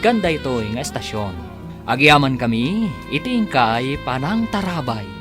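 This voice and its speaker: A man's voice: mid-range voice, big pitch swings, normal speed. A man narrating and announcing a radio drama serial in a scripted, dramatic style. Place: radio production studio. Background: music, guitar.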